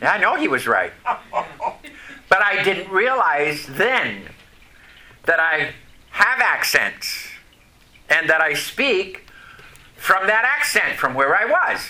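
Animated, high-voiced preacher, bright tone. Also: male voice, rough recording. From Vermont, USA. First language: French. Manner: folksy, questions the congregation, pauses between phrases. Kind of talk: sermon.

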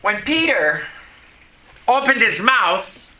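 A man preaching a folksy sermon who grew up in Vermont and whose first language is French. He often turns to the congregation with questions and pauses between phrases. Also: high-pitched, big pitch swings, pushed and bright, low-quality recording. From Vermont, USA.

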